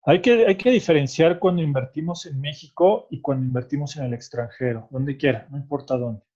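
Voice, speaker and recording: A man's voice: fairly high pitch, brisk, a little mellow, muffled recording. A man with a Mexican Spanish accent patiently explaining tax rules in conversation, checking often that he is understood. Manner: patient, explanatory, adds "¿ok?" after points. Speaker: tax expert guest.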